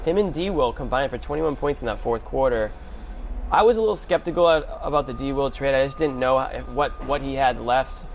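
A man's voice: mid-range voice, a little fast. Male sports host, mid-range voice, slangy conversational commentary, some background noise. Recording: clear background noise and hum.

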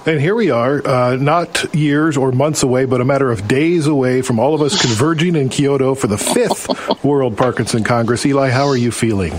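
A man's voice: mid-range voice, moderate pace, few pauses, a little hiss or hum. A man hosting a podcast interview, chatting in a friendly way and laughing easily. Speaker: podcast host. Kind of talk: casual interview.

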